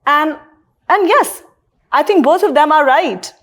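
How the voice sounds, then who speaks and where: A woman whose first language is Punjabi, speaking as a school leader on a panel, calmly recounting what parents told her. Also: high voice, unhurried. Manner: reflective, relays parents' views. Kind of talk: panel discussion.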